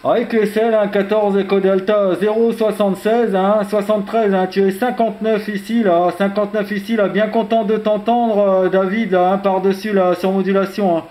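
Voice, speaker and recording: Man, high voice, amateur radio operator, friendly jargon-filled chat, filler tags, echoey sound. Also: level tone, moderate pace, few pauses, a little mellow. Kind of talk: informal radio contact chat, call signs and radio jargon. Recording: roomy, echoing radio contact audio.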